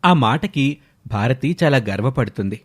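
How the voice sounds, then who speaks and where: A man's voice: mid-range voice, animated, unhurried. A man narrating a scripted moral story.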